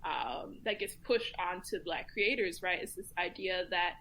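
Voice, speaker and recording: A woman's voice: on the low side, measured pace, sharp tone, slight background noise, rough recording. A woman speaking as a podcast guest, reflective and casual, thinking aloud as she talks. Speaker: podcast guest, community commentator. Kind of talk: casual round-table discussion, thinking aloud.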